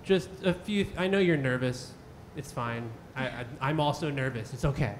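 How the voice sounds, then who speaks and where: A man's voice: mid-range voice, animated, moderate pace, a little hiss or hum. A man judging as an English coach, giving reassuring feedback to a nervous contestant. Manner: reassuring, encouraging. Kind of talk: spoken feedback.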